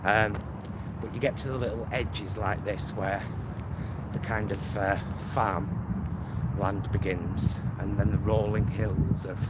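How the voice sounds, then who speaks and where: A man's voice: lowish voice, fairly even intonation, slow pace. A man vlogging on a walk outdoors, chatting casually and meandering, often trailing off. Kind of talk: casual rambling chat to camera. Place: outdoors on a walk near a motorway. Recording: noisy outdoor recording with traffic.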